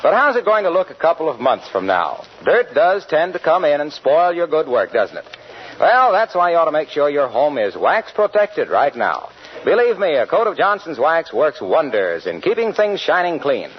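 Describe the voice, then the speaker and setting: A man's voice: on the high side, big pitch swings, normal speed, breathy edge. An American man announcing in a scripted 1940s radio sales style. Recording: muffled vintage radio broadcast with music.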